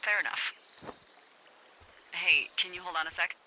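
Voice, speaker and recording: Game role: candid, teasing woman fire lookout, very bright forceful voice over two-way radio, faint noise. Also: fairly low pitch, unhurried, poor audio. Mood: playful, curious. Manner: wry, conversational, teasing. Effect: walkie-talkie radio. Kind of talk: naturalistic video game dialogue.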